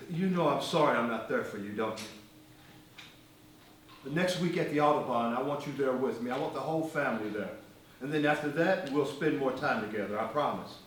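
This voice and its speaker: Guy playing a weary earnest activist leader in a stage play, measured pauses, far from the mic w some noise. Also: medium pitch, lightly acted. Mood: tender, regretful. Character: Malcolm X, earnest, teasing, weary male civil rights leader. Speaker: stage actor. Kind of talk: live stage play acting. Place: indoor stage performance, distant microphone. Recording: echoey hall from far off, noisy.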